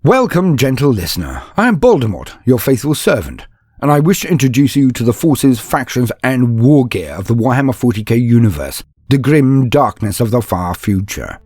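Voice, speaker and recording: A man narrating a scripted story and guide in a theatrical, solemn storyteller's manner, with a husky voice. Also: medium pitch, very animated, normal speed, darkish tone, dry recording, clean studio sound. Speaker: narrator and channel host.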